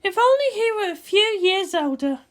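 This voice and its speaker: A man's voice: very high voice, expressive, normal speed, fairly bright tone, voice pushed up. A man voicing a brash, world-weary young woman officer with an emotional, teasing manner in a fan fiction reading. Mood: wistful, bitter.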